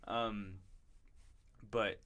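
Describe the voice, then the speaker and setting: A man's voice: on the low side, flat delivery, very slow, a loud crowd. A man interviewing an old friend, speaking conversationally and often affirming him. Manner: conversational, frequent affirming backchannels. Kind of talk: casual interview chat.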